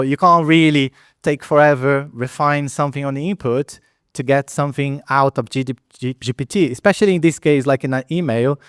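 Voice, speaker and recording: A man's voice: medium pitch, smooth, dry recording, studio quality. A middle-aged man from Brazil with a Brazilian Portuguese accent, giving a conversational conference talk as a product lead, with many fillers, restarts and non-native phrasing. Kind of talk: conference presentation. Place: event hall at a meetup.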